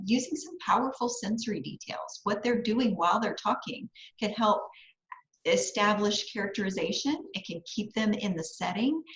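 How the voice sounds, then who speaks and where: Female podcast panellist, mid-pitched, conversational advice, echoey and slightly muffled mic. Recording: roomy, slightly dull microphone sound.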